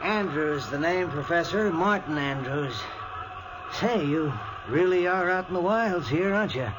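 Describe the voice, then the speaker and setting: Cocky drifter, kinda high voice, noisy old recording. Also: male voice, animated, a little slow. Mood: breezy. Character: brash male drifter seeking handyman work. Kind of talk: 1940s radio acting. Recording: old radio recording with noise.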